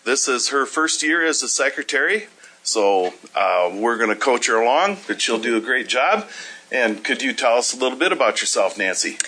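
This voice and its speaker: Man, committee chairman, bright tone, folksy, easygoing, filler pauses, hearing room, desk mic. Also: mid-range voice, lively intonation, slightly rough.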